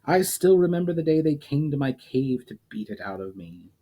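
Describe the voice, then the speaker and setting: A man's voice: on the high side, sing-song, normal speed, mellow, pitched above the performer's own voice. An ancient immortal demon, cold and derisive, who lectures in a slightly raised voice, as a male reader puts him on. He sounds scornful and superior in a told fantasy story. The voice stays mostly close to the reader's own. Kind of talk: storytime reading aloud.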